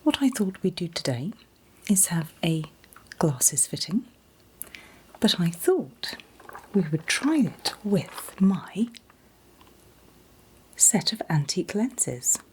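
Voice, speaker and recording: A woman in a gentle, soothing ASMR roleplay as an optician, whispering breathily and reassuringly without hurry. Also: fairly low pitch, big pitch swings, slow, pauses often, a little hiss or hum, rough recording. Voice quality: breathy, mostly unvoiced whisper. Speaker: ASMR performer playing an optician.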